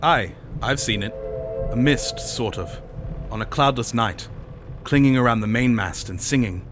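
A man's voice: mid-range voice, measured pace, over music, pitched above the performer's own voice, lightly acted. A man voicing superstitious sailors telling ghost tales in awe, with rustic yarn-spinning speech, for an audiobook. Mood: awed reminiscing. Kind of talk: audiobook character dialogue.

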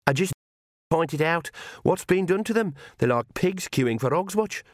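Audiobook young witch, man performing: wry, stubborn, sardonic, lightly raised and lively pitch. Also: measured pace, dry recording, studio quality. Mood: indignant.

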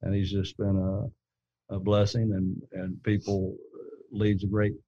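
A man who leads a gun company, telling his story in a casual interview with a deep, hollow-sounding voice and a rambling way of talking full of restarts. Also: completely flat delivery, a little slow, warm tone, no echo, dull audio.

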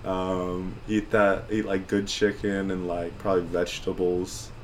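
A man's voice: sits low, little pitch movement, measured pace, slight background noise. A man in his twenties co-hosting a podcast, talking in a laid-back, rambling way with lots of fillers. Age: 20s. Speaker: podcast co-host. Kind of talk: casual chat.

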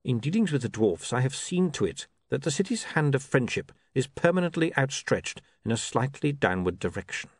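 A man's voice: mid-range voice, very animated, husky, dry recording, voice pushed up. Man as a cool, unruffled city ruler, dry sound. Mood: calm, ironic. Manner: measured, precise, unruffled. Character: calm, coolly ironic male ruler of a fantasy city.

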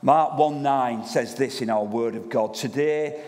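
A man preaching in a church, loud and lively, chatting with the congregation as their pastor. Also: medium pitch, a little slow. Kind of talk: sermon. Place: church hall. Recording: long reverb of a church hall.

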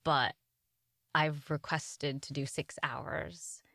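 A Canadian-raised woman and audiobook narrator chatting casually from a home closet studio, bubbly and quick to laugh. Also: deep voice, fairly even intonation, pushed and bright, no echo. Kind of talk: casual video chat.

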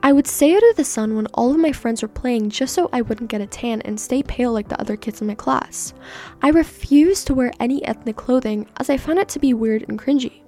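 A young woman from Canada, a native Persian speaker, reading a personal essay in an earnest, measured way as a podcast host. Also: a little high, darkish tone, faint music behind.

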